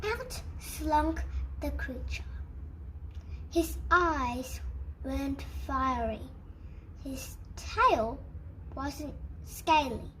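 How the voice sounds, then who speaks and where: A woman reading a children's storybook aloud as an expressive storyteller. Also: sits high, extremely slow, long pauses, slight background noise, poor audio.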